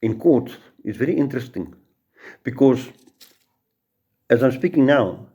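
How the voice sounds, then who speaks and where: A man's voice: mid-range voice, lively intonation, a little slow, warm tone, husky, muffled recording. A middle-aged man with a South African Afrikaans accent, a judge giving an informal law lecture full of courtroom stories and restarts. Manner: storytelling, repeats words and restarts.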